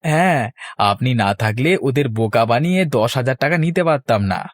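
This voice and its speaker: A man's voice: mid-range voice, animated, moderate pace, dry recording, lightly acted. A man voicing a sly, greedy village man in a cartoon, with a wheedling, insinuating manner. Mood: ingratiating. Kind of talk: cartoon voice acting.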